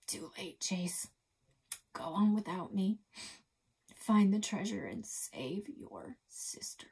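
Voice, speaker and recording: Woman reading a cocky boy adventurer, quick offhand lines, slightly lower. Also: expressive, vocal fry, a little mellow, slightly rough, exaggerated voice. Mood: weak.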